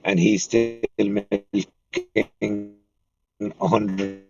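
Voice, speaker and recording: Cork guy, gravelly and flat, kinda far from a dull mic, takes his time. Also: lowish voice, dry recording, soft background music. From Cork City, Ireland. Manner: unhurried, pausing often mid-sentence. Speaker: auctioneer guest. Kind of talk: casual interview chat.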